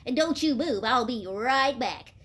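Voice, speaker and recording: A bossy lady pig, played by a woman from a children's tale. She sounds emphatic and helpful, with a high, very animated voice. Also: unhurried, husky, heavily acted. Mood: bossy, eager. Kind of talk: children's storybook read-aloud.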